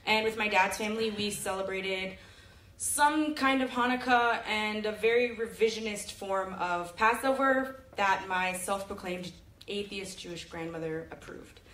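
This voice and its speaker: A woman's voice: mid-range voice, measured pace, a bit bright. A young woman from California giving a chatty, joking stage talk into a handheld mic in a large auditorium. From Sacramento, California. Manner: chatty, jokey, self-deprecating storytelling. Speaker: presenter. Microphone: handheld mic filmed from the audience. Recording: echoey hall, audience phone recording.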